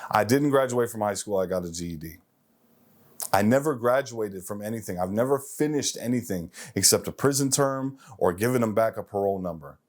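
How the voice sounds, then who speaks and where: Low-voiced man, emphatic motivational talk. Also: animated, a little fast. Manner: emphatic, repeats phrases for effect. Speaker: self-improvement show host. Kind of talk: motivational monologue to the audience.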